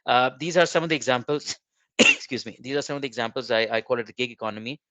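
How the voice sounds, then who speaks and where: A middle-aged man whose first language is Urdu gives a webinar presentation, halting with many fillers and restarts. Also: medium pitch, sharp tone, dry recording. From Pakistan. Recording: dull lo-fi online call.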